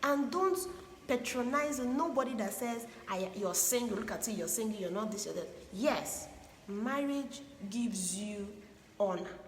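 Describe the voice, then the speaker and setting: A woman with a fairly high voice talks in an emphatic, preachy way and circles back to drive each point home. Her voice rings through a roomy space with a long reverb tail, and a faint hiss sits behind it. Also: expressive, a little slow. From Igbo land, Nigeria. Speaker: relationship advice speaker. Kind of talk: earnest advice monologue.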